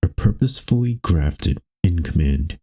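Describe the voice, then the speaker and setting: Male narrator, extremely low and husky, solemn dark-fiction storytelling over music. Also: expressive, a little slow. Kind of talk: scripted second-person narration of a dark existential visual novel.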